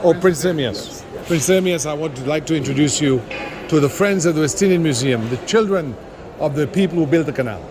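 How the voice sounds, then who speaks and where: A man's voice: on the high side, a little fast, over music. A man interviewing guests, prompting them with enthusiastic questions. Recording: outdoor street noise.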